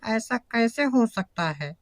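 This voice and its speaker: A woman's voice: fairly high pitch, lively intonation, takes it slowly, dry recording. A woman voicing a poor, earnest village mother in a cartoon moral story, speaking plainly. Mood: upset. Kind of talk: Hindi animated moral story acting.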